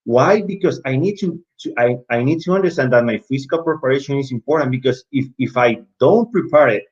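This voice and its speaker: A man's voice: mid-range voice, a little fast, lo-fi sound. A man with a Latin American Spanish accent giving an informal talk to referees over a video call, with many restarts, asking and answering his own questions. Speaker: basketball referee speaker in an online session.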